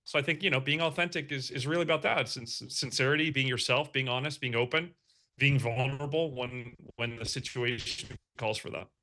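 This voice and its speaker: A man's voice: mid-range voice, brisk, sharp tone. Belgian-born man with an American accent. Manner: thoughtful, with many fillers and restarts. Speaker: guest, marketing executive. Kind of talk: conversational interview answers.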